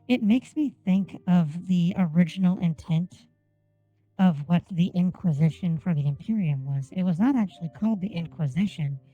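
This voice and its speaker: A woman whose first language is Japanese, chatting on a game stream and enunciating each word deliberately into a desk microphone. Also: lowish voice, mellow, dull audio, faint music behind. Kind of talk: casual gameplay stream chat. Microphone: desk microphone on an arm.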